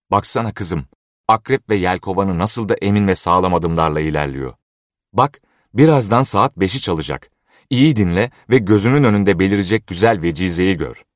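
A man's voice: low-pitched, dry recording, clean studio sound. A man voicing an obsessed old master clockmaker who pleads feverishly.

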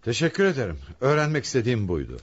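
Husky, animated police commissioner. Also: male voice, mid-range voice, racing, highs cut off. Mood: authoritative. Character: confident, probing male police commissioner, authoritative. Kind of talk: dramatic radio play acting.

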